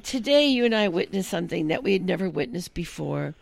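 A woman's voice: lowish voice, animated, a little fast, vocal fry. A woman co-hosting a casual comedic chat, telling stories in a playful, rambling way. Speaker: podcast co-host.

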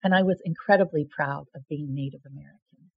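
A woman's voice: sits low, fairly quick, breathy edge, dry recording. Rambling woman host, dull lo-fi sound. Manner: rambling with frequent restarts and repeated words. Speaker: host and self-described presidential candidate. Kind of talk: casual direct-to-camera monologue.

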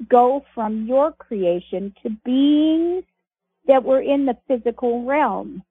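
Woman reader, deliberate and unhurried, slightly husky, muffled telephone sound. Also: a little high, no echo. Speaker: reader of archived messages on a conference call. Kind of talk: reading a transcript aloud. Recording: muffled phone-line audio.

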